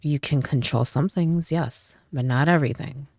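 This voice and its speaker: A woman's voice: low-pitched, normal speed, a little mellow, no echo, clean studio sound. A woman doing a casual tarot reading, a little stuffy from a cold, chatty and often checking in with "okay?" and "right?". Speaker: tarot reader.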